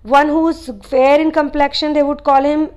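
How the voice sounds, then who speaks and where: A woman's voice: sits high, level tone, normal speed, a little mellow. A Nepali-born woman, a spiritual channeler, giving a chatty, rambling talk to her viewers with many "you know" asides.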